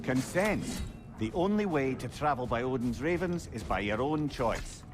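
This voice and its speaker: A man's voice: mid-range voice, animated, normal speed, noisy background, close to an everyday voice. A man playing a witty, avuncular older talking head in a video game, a learned storyteller with a Scottish accent and wry, eloquent delivery. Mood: wry, knowledgeable. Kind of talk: theatrical video game acting.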